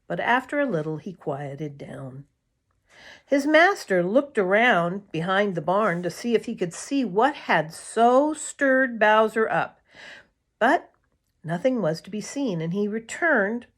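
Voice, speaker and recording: A woman reading a children's story aloud in a deliberate, expressive storytelling manner. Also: mid-range voice. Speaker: storybook reader.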